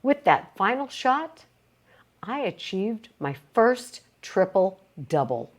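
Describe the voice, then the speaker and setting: A woman reading a motivational self-help book aloud, with emphatic, deliberate phrasing and short pauses. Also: mid-range voice, sing-song. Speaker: reader of a book read aloud.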